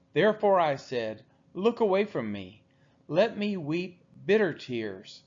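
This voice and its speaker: Slow, expressive man reading scripture. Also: mid-range voice. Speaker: Bible reader. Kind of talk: solemn scripture reading aloud. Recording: slightly dull, lo-fi audio.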